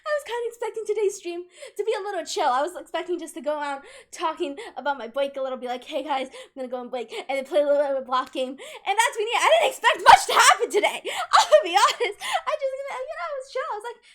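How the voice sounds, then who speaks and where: Young woman streamer, high bright voice, giggly and emotional, chats casually with her viewers. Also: animated, quick pace, few pauses. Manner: giggly and emotional, laughing through words, restarts often.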